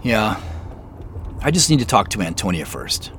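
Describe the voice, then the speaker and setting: Animated, easygoing amateur sleuth. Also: male voice, lowish voice, normal speed, husky, full character voice. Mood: guarded, thoughtful. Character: inquisitive male amateur sleuth, easygoing, slightly sheepish. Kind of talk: naturalistic audio drama dialogue. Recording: faint vehicle noise behind.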